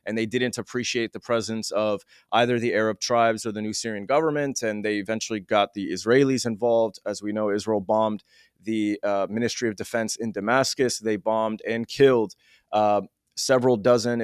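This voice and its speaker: A man hosting an interview, setting out context and questions in a measured, explanatory way. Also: fairly low pitch, steady tone, clean tone, no echo, studio quality. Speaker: interviewer, host.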